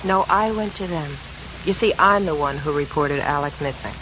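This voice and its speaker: An actress playing a brassy, blasé young wife in a 1950s radio crime drama, offhand and curt. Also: female voice, sits low, normal speed, close to an everyday voice. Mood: cool, guarded. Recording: muffled vintage radio, sizzling noise.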